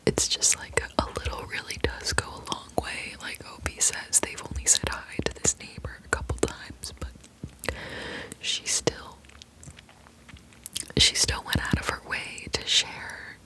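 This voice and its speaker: A young woman hosting an ASMR channel, whispering softly as she reads stories, easily moved to tears. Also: a little slow, low-quality recording.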